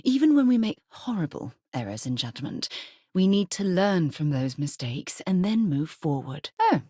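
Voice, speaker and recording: A woman voicing an earnest, principled space explorer and loyal companion in a video game, speaking in an articulate, measured way. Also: on the low side, sing-song, dark tone, slightly rough, no echo, clean studio sound. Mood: sympathetic. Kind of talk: video game character voice lines.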